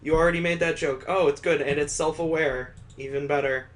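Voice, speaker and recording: A man's voice: a little high, steady tone, brisk. A man hosting a let's play, reading a visual novel aloud and adding sarcastic joking asides.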